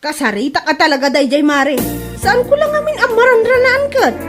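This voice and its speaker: A woman's voice: extremely high pitch, fairly quick, over music. A woman acting an aggrieved friend who complains indignantly in an amateur comedy drama. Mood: hurt, accusing. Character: aggrieved woman scolding her friend over an insult.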